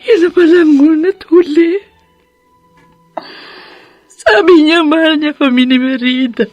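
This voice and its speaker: A woman acting a tender, devoted widowed mother in a radio drama, with a halting, emotional delivery. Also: high-pitched, steady tone, darkish tone, dry recording, faint music behind. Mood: sorrowful, confiding.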